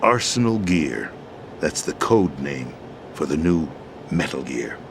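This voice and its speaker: An actor playing a weary, formal deposed president and schemer in a video game, explaining things in a measured, deliberate way. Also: male voice, extremely low pitch, very animated, background noise, full character voice. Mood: grave, deliberate. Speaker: video game character in a cutscene. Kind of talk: dramatic expository video game acting.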